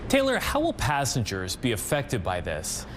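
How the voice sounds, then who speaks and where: A man's voice: mid-range voice, wildly swooping pitch, normal speed, noisy background. A man anchoring the news and putting questions to a reporter live on air.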